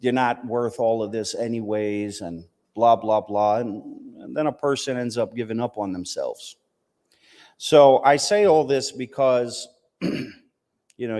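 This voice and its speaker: A man's voice: fairly low pitch, a little mellow. American man speaking in a reverberant hall. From United States, Southern family from West Virginia, partly raised in Palestine. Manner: conversational, punctuated with "you know" and "right?" checks. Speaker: Islamic teacher giving a talk. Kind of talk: informal religious talk with personal testimony.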